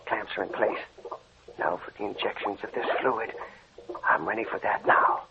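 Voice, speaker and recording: An actor playing an intent, commanding mad scientist in an old radio horror drama, giving terse, urgent orders. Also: male voice, lowish voice, moderate pace, lightly acted. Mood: tense, focused. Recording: very noisy, muffled old radio recording.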